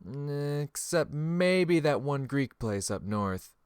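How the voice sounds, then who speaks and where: A man's voice: on the high side, expressive, takes it slowly, clear, resonant tone, no room sound, studio quality. An actor playing a cocky, boastful young male spear warrior in a fantasy audiobook. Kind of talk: audiobook character acting.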